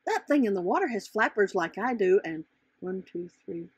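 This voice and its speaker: A woman's voice: medium pitch, expressive, normal speed, husky, dry recording. A woman voicing a curious, innocent young female butterfly who thinks aloud in a children's storybook. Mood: wondering. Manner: wondering, thinking aloud to herself. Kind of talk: children's storybook character reading.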